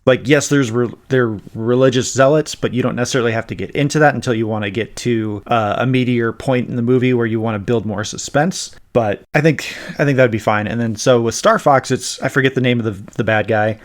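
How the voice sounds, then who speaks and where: A man's voice: medium pitch, fairly quick, hardly any pauses. A man hosting a podcast, talking casually and explaining his ideas about pop culture in a conversational way. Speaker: podcast host.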